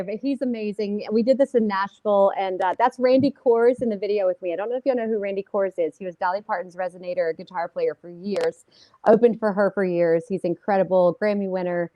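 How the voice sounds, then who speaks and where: A woman's voice: medium pitch, darkish tone, no room sound, rough recording. A woman singer chatting casually as a guest in an interview, upbeat and full of fillers.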